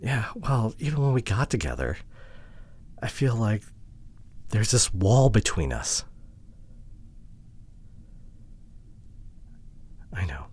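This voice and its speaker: Man acting as husky, low-voiced, hurting young boyfriend. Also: sing-song, heavily acted. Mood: wistful, hurt. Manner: halting, hushed, emotionally vulnerable delivery. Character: hurt, frustrated introverted boyfriend pleading with partner. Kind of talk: intimate ASMR roleplay audio drama.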